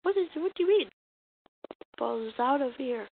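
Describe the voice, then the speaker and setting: A muffled, slightly hissy home recording of a woman with a high voice. She reads cartoon comic dialogue in an amateur dramatic style, halting and stumbling as she goes at an unhurried pace. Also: expressive, creaky. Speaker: amateur comic dub voice actor. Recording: muffled lo-fi mic with hiss.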